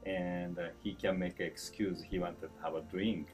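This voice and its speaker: A low-voiced man in his fifties speaks English with a Japanese accent, his phrasing broken and warm with small chuckles. He is seated at an indoor store event, and faint music plays behind him. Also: moderate pace. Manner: broken English, chuckling, animated with hand gestures. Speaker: chef being interviewed. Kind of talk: casual interview answers.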